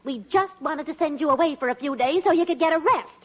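An American actress playing a sensible, wry, exasperated but affectionate wife in a 1940s radio sitcom. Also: female voice, high voice, quick pace, close to an everyday voice. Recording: dull, noisy 1940s radio recording.

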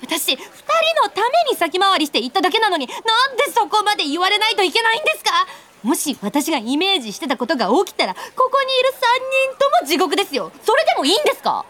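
A woman's voice: very high voice, sing-song, quick pace, few pauses, sharp tone, heavily acted. A woman playing an anxious, earnest young woman who sees three seconds ahead and narrates her own story in a radio drama. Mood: indignant. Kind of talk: radio drama acting with first-person narration.

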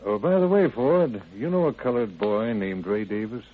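A man playing a brisk, matter-of-fact county sheriff in a 1930s radio drama. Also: lowish voice, natural voice. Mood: businesslike. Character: businesslike male county sheriff running his office. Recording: tinny, narrow old radio recording.

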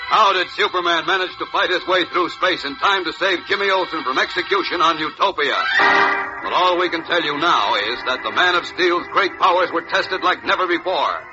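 A male serial announcer in dramatic 1940s radio style, emphatic and full of suspense. His pitch is high, and loud music plays behind a muffled recording.

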